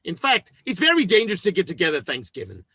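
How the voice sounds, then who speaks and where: An actor playing a scheming, self-important working-class husband in an old-time radio sitcom, with blustery, folksy speech. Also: male voice, sits high, huge pitch swings, quick pace, pushed and bright, husky, dry recording, exaggerated voice. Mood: awkwardly persuasive.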